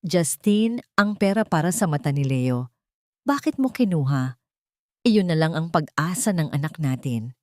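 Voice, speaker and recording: A woman's voice: sits low, animated, a little slow, dry recording, studio quality. A woman narrator voicing a desperate, betrayed mother who pleads in anguish in an audio drama. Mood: anguished accusation.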